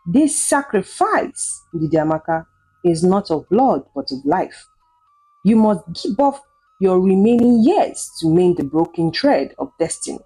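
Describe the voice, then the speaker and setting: Casting: female narrator as old folktale ritualist, solemn and measured, swooping pitch, slightly husky. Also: sing-song, mellow, faint music behind, exaggerated voice, low-quality recording. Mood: grave, warning. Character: old wise woman ritualist, solemn, cryptic, grave.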